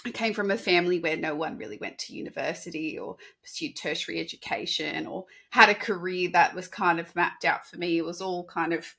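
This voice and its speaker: A woman's voice: on the low side, fairly quick, creaky, hardly any pauses, husky. A woman from Sydney with an Australian accent, a dietitian talking casually and chattily as a podcast guest. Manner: chatty, animated, with laughs and restarts. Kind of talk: casual interview talk.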